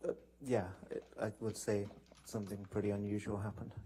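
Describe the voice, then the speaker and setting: A man giving testimony as a witness in a courtroom, hesitant and measured, with halting answers. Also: lowish voice, little pitch movement, warm tone. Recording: noisy courtroom broadcast feed.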